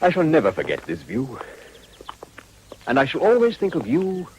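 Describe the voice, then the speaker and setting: Courtly upper-class suitor for period drama, very theatrical swooping delivery, formal and ornate, slight background noise. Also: male voice, medium pitch, wildly swooping pitch, normal speed, low-quality recording. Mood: courtly, admiring. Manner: florid, formal, pompous.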